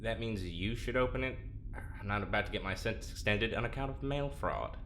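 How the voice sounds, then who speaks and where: A man's voice: fairly low pitch, expressive, fairly quick, a bit bright, slight background noise. A man playing a nervy, good-hearted prisoner in an audio drama, informal and prone to stammering. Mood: exasperated, confused. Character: nervy, kind-hearted, exasperated male prisoner.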